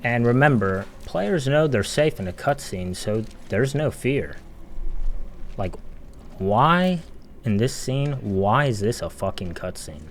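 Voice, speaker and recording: A man's voice: medium pitch, animated, slow, a little hiss or hum. A man giving a critical video game analysis voice-over in a casual, opinionated, emphatic way. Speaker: video essay commentator.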